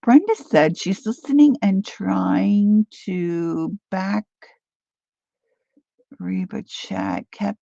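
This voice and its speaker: A woman in her sixties from Philadelphia talks slowly and rambles through a craft livestream, reading viewer comments aloud. Her voice has a gravelly rasp and carries her Philadelphia accent. The sound is dry and dull, like lo-fi livestream audio. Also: mid-range voice, warm tone, no room sound. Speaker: craft channel host. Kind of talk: casual livestream craft chat.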